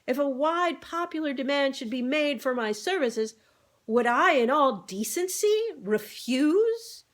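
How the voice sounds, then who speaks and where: Woman doing a pompous, high-pitched storybook rooster. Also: lively intonation, measured pace. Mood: pompous, noble. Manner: florid, stretched-out oratory. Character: pompous, grandiloquent rooster politician. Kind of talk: animal storybook read-aloud.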